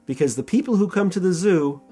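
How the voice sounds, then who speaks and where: A man reading a children's storybook aloud as storyteller, with expressive, dramatic emphasis. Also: high-pitched, a little fast, slightly rough, faint music behind.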